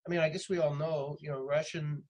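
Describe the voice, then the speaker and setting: A man hosting a casual interview, feeling his way into questions haltingly and repeating words. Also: a little high, little pitch movement, a little mellow. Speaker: interviewer, host. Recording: faint background noise.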